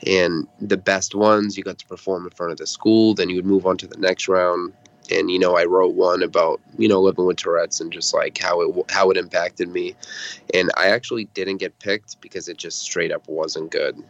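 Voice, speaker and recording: A man's voice: low voice, little pitch movement, normal speed. A man in his twenties from Massachusetts, a rapper telling his story candidly and casually as an interview guest, on slightly dull audio. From Massachusetts, United States.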